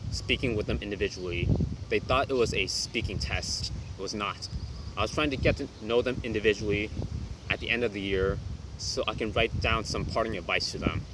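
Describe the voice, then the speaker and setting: A young man who teaches English, talking through a reflective vlog in a quiet, reserved way with hesitant restarts. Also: on the low side, very noisy.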